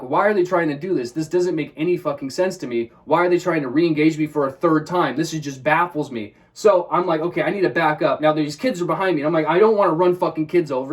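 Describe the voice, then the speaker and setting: A man with a high-pitched voice from Washington State, telling a story casually and agitatedly, swearing often and acting out what he said. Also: distant mic. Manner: agitated, swears often, acts out his own quotes. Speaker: vlogger.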